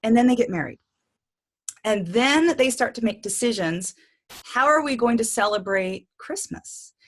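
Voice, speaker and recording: A woman hosting an interview, reacting with enthusiasm and thinking through her questions out loud. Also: on the high side, animated, unhurried. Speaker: interview host.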